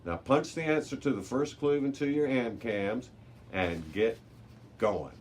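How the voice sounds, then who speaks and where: A man voicing a commanding male race announcer in a children's storybook, showy and deliberate as he rallies young contestants. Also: medium pitch, expressive, voice pushed up, natural voice. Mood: rallying, commanding. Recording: noticeable background noise.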